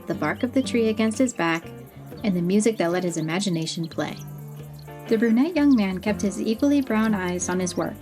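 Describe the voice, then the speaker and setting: A woman narrating a fantasy audio story in an expressive, even-paced reading, over soft music. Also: mid-range voice.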